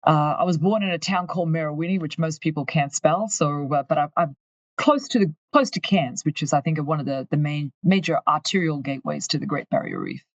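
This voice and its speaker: An Australian woman from far north Queensland, a finance executive telling her story in a candid, lively interview. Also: deep voice, brisk, no room sound, highs cut off. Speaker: guest, company finance chief. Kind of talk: conversational interview.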